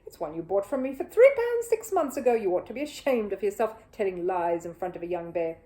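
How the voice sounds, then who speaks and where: A woman's voice: mid-range voice, sing-song, brisk, reverberant, natural voice. A woman voicing a kindly, courteous male shopkeeper in a children's storybook. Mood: indignant. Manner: courteous, gentle. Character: kindly, courteous gentleman shopkeeper, friend of the bear. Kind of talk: children's storybook character reading.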